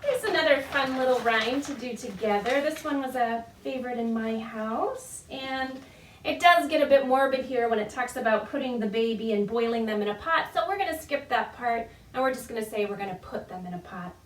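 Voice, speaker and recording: A woman's voice: on the high side, fairly quick. A woman leading a children's storytime in a playful, encouraging, sing-song way, with simple phrasing for babies and their parents. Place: indoor room, recorded virtual session. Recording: echoey room, distant microphone.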